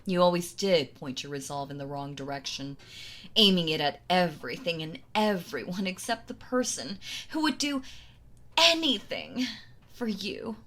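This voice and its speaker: A woman's voice: fairly low pitch, animated. A woman playing a possessive, sweetly cruel yandere wife in an intimate roleplay audio drama, gloating and mock-tender as she toys with her captive. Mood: smug, quiet menace. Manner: slow taunting delivery, breathy soft laughs, mock-tender.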